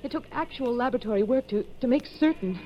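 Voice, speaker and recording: An actress playing a guilt-ridden young clinic worker in a 1950s radio drama, halting, pleading and emotional. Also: female voice, fairly high pitch, expressive, natural voice. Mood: shaken, confessing. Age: young adult. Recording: dull, noisy 1950s radio recording.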